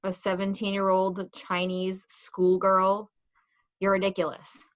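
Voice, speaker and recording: A woman's voice: medium pitch, completely flat delivery, measured pace, close to an everyday voice. Muffled, peppy scolding younger sister played by a woman. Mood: incredulous, teasing. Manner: incredulous, needling. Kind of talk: table read acting. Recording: dull, lo-fi microphone sound.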